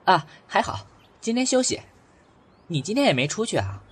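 A woman's voice: medium pitch, big pitch swings, moderate pace, husky. A woman voicing a streetwise, protective, hot-tempered young man in an audio drama, blunt and emotional. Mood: casual. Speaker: voice actor.

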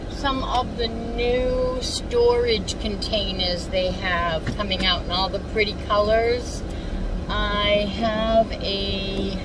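An American woman in her fifties chatting casually to camera while driving, rambling with pauses as she goes. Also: mid-range voice. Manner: rambling, pausing, distracted by driving. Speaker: vlogger and crafter. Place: inside a moving car. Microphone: phone mounted on dashboard. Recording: distant mic with loud car road noise.

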